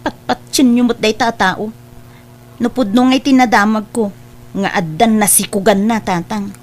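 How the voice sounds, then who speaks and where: A woman's voice: medium pitch, steady tone, moderate pace, natural voice. A woman playing a suspicious, hurt young woman who confronts her suitor pointedly in a radio drama. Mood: distrustful. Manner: accusing, pointed.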